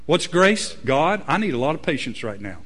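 A man preaching a sermon, swinging between loud emphatic peaks and low quiet asides. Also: sing-song, moderate pace. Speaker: pastor.

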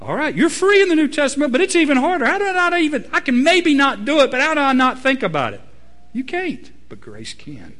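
A man preaching a sermon, swinging between loud emphatic peaks and low quiet asides. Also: big pitch swings, brisk. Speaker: pastor.

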